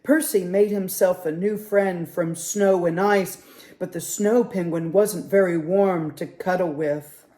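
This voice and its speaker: A man reading a picture book aloud at a children's story time, with a gentle, expressive manner. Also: high-pitched, unhurried.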